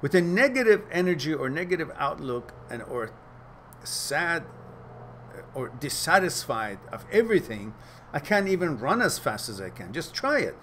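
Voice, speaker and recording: A man's voice: a little high, big pitch swings, a bit bright, a little hiss or hum. Man, earnest live-chat host. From Iran. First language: Farsi. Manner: reflective, earnest, loose pauses and fillers, laughs easily. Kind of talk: casual live-stream chat and advice.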